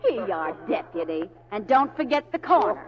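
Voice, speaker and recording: A woman's voice: mid-range voice, sing-song, normal speed, music in the background, natural voice. An actress playing a brassy, sassy Western saloon girl in an old radio drama, with a taunting manner. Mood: mocking. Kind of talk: 1930s-40s radio acting. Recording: muffled old radio, audience noise.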